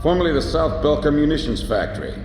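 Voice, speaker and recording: A man's voice: fairly high pitch, very animated, fast talker, noisy background. An older man acting a seasoned, knowing air force veteran who explains things in an informal, musing way in a game cutscene. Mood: musing, confiding. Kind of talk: English dub acting in a military game cutscene. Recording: long hall reverb, music behind.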